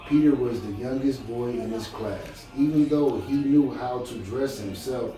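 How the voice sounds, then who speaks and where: Guy reading a kids book out loud real slow, casual n encouraging, super echoey room. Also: mid-range voice. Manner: encouraging, asks the listener questions. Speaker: adult reading a children's book aloud to a child. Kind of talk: storybook read-aloud with casual chat and questions. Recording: echoey room, distant mic, music behind. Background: music.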